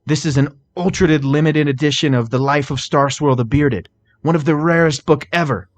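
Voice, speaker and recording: A man's voice: a little high, normal speed, no echo, voice pushed up, lightly acted. A man voicing a smug male pony thief, arrogant and mocking, in a storybook reading. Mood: gleeful. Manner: sneering, arrogant.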